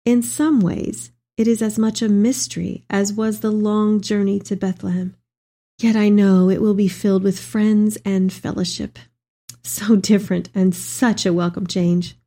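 A woman's voice: medium pitch, flat delivery, measured pace, dark tone. A woman playing an elderly, devout mother in a dramatic reading of letters to her son, with tender, heartfelt delivery. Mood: tender, hopeful. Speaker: dramatic reader. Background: music.

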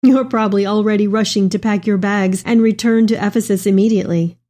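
A woman's voice: medium pitch, steady tone, fairly quick, mellow, natural voice. A woman playing an elderly, devout Mary in a dramatic reading of tender, earnest letters to her son. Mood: tender, solemn.

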